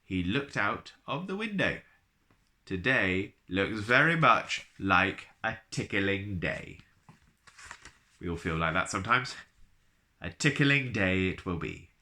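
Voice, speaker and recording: A man reads a children's story with lots of melodic rise and fall. His voice sits a little low yet sounds bright and forward. He is playful and expressive, slipping into chatty remarks addressed to his listeners. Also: takes it slowly. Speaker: storyteller reading a children's book aloud.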